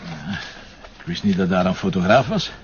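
Lively, wry Flemish police commissioner. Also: male voice, low-pitched, normal speed. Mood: brisk. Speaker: actor. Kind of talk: naturalistic TV crime drama acting. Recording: muffled TV soundtrack with clear background noise.

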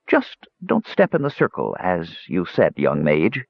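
A man voicing a cursed, sorrowful old shapeshifting wizard lord in a fantasy audiobook, slow and despairing. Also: low voice, huge pitch swings, gravelly, no room sound, full character voice. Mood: wretched, gracious. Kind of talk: dramatic fantasy audiobook character voice. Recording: slightly dull, lo-fi audio.

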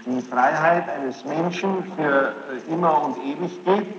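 A man questioning a witness formally and insistently, in long, halting sentences. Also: fairly high pitch, dull audio, over a noisy crowd. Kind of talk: formal courtroom-style questioning. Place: large reverberant room. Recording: noisy echoing archival tape of hearing.

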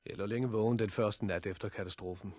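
An actor playing a calm, pragmatic young survivor in a 1960s radio drama, measured and understated, with a husky voice. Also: male voice, lowish voice, dry recording, close to an everyday voice. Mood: sombre, reflective. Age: 20s. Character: pragmatic, calm man of twenty-nine surviving a catastrophe. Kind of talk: 1960s radio drama acting and first-person narration.

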